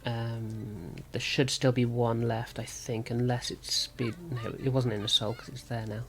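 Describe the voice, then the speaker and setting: Male gaming video host, medium pitch, sore and slightly rough voice, casual play-by-play, reasoning through moves aloud. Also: level tone, a little slow, a bit bright. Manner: thinking aloud. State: sore throat. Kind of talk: casual card game commentary.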